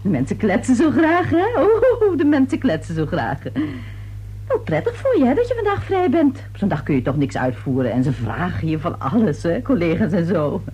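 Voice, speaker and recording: A woman's voice: mid-range voice, huge pitch swings, normal speed, warm tone, breathy edge. A woman playing a nosy, chatty, easily indignant neighbour in a radio thriller, with theatrical prying delivery. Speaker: actress in a radio serial. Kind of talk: Dutch radio thriller acting.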